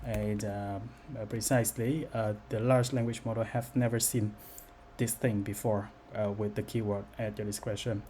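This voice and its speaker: Man, lowish pitch, dark mellow tone, hesitant unscripted demo narration, some background noise. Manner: halting, hesitant delivery. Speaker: developer presenting a software demo.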